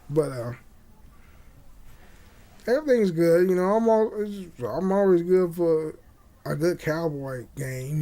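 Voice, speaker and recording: A young man hosting a podcast, chatting casually and haltingly, with repeated words and frequent swearing. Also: on the high side, a little mellow. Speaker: podcast host. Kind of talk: casual rambling chat with swearing. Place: small home room indoors. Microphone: condenser mic on boom arm.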